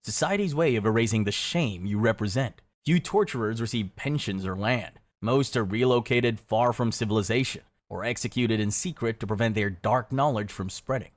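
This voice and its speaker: A man reading dramatic scripted second-person narration in a grave, measured storytelling manner. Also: lowish voice, no echo. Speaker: video narrator. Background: background music.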